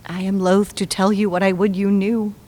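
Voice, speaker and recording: A woman's voice: mid-range voice, level tone, moderate pace, a little mellow, natural voice. A woman playing a devoted, anxious handmaiden, urgent and deferential, in classical Shakespearean stage style.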